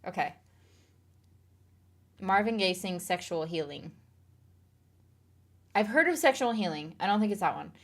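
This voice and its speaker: A woman's voice: medium pitch, expressive, unhurried, long pauses. A woman streamer chatting casually on a live stream, reading viewer comments aloud and laughing along.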